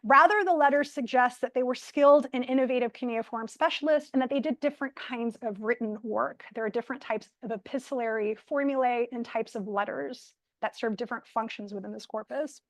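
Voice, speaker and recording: Soft-voiced woman giving a lecture. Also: fairly high pitch, fairly quick, hardly any pauses, no room sound. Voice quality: soft voice, projecting to be heard. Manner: enthusiastic, with fillers. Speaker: guest lecturer. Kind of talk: academic lecture. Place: lecture room, in person and streamed online.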